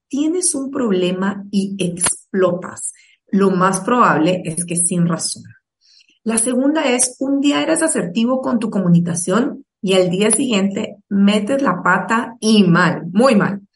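A woman's voice: fairly high pitch, far from the mic. A woman from Guatemala, giving a motivational online talk and speaking directly and persuasively to her audience. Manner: addresses the listener directly, persuasive and encouraging.

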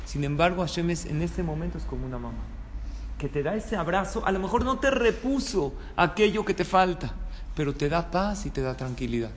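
Mexican male rabbi, higher-pitched voice, informal sermon full of questions, echoing hall with room noise. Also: expressive, fairly quick. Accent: Mexican Spanish. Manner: conversational, checking in with listeners through rhetorical questions. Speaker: rabbi giving a talk. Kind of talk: informal religious sermon with anecdotes. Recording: reverberant hall, clear background room noise.

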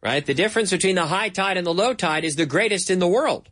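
Man, Canadian accent, English teacher, slightly high voice, slow repetition drills. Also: lively intonation, fairly bright tone. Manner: slow, repeats words for drilling. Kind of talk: bilingual English lesson.

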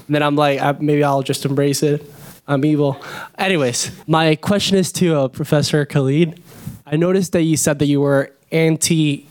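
A young man from Venezuela speaks in a fairly high voice. He is a student addressing a panel with a question from the audience. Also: steady tone, moderate pace, a little mellow, clean tone. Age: young adult.

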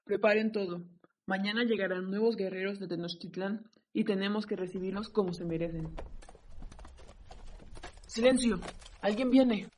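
A proud, commanding Aztec ruler acted by a woman in a radio drama. The voice is rough-edged and husky, with a stern, declamatory way of addressing warriors and strangers. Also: mid-range voice, moderate pace, pauses often, a little mellow, close to an everyday voice.

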